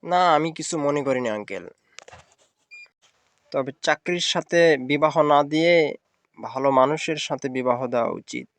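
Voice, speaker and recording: Earnest young businessman played by a man, highish voice. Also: moderate pace, long pauses, natural voice. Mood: composed. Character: courteous young village businessman, earnest suitor. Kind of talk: cartoon dialogue.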